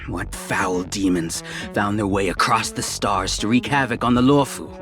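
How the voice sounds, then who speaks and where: A man's voice: on the low side, moderate pace, music in the background, lightly acted. Man playing a stern, skeptical knight captain. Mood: grim. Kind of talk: anime game dialogue.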